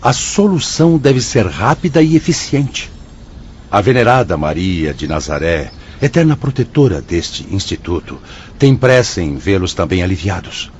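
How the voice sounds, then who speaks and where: An actor with a Brazilian accent playing a solemn, benevolent spirit director in a radio drama, speaking formally and with authority. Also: male voice, low-pitched, big pitch swings, moderate pace, a little mellow. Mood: resolute. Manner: formal, declamatory. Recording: dull lo-fi audio, faint noise.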